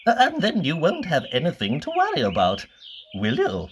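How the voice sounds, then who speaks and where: Narrator as a bumbling, kindly wizard, swooping pitch. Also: huge pitch swings, moderate pace, noisy background. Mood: helpful, reassuring. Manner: bumbling, starts with "uh". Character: kindly, hesitant, helpful old wizard.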